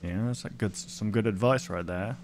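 A man's voice: fairly low pitch, normal speed. A man in his twenties giving laid-back, casual reaction commentary and reading on-screen text aloud. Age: 20s. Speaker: reaction video host. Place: small room at home, indoors. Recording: clear background noise.

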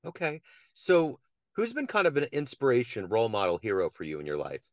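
A man hosting an interview, asking slow, open-ended questions. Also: mid-range voice, wildly swooping pitch, no room sound, studio quality. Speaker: interview host.

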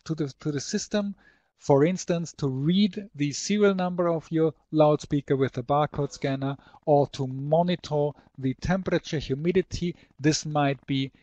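Higher-voiced man presenting a webinar. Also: darkish tone, no echo. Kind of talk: product demonstration and slide presentation.

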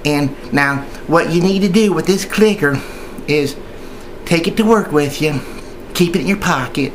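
A man's voice: on the high side, unhurried, a little hiss or hum. A man speaking as a union member in an informal training video, chatty and hesitant, rambling along and often repeating himself. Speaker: union member giving tips.

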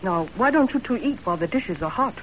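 A woman's voice: medium pitch, expressive, fast, close to an everyday voice. A woman playing a self-possessed, sceptical young servant in a composed, plain-spoken 1930s radio acting style. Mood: polite, composed. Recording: muffled, faintly noisy 1930s radio broadcast.

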